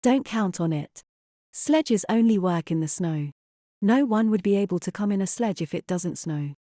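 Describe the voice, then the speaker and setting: Audio drama mother, played by a woman: skeptical, firm and measured, soft warm tone, close dry sound. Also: mid-range voice, animated, no echo, close to an everyday voice. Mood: gently dismissive. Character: sensible, skeptical young English mother denying childhood magic.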